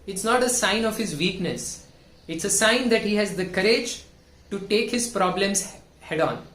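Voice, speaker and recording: A man giving an earnest, measured self-help talk with numbered points. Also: high-pitched, a bit bright, reverberant. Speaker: self-help video host. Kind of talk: scripted motivational talk.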